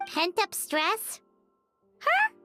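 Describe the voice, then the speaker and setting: Game companion: little childlike creature, sky-high squeal, drawn-out emphatic lines, indignant. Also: female voice, huge pitch swings, music in the background, heavily acted. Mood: incredulous. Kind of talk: video game voice acting.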